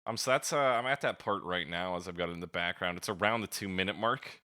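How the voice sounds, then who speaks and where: A man's voice: sits low, fast talker, sharp tone, no echo, studio quality. A man co-hosting a casual music review podcast, talking bluntly with swearing, joking and mimicked sounds. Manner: blunt, profane, jokey. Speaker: podcast co-host.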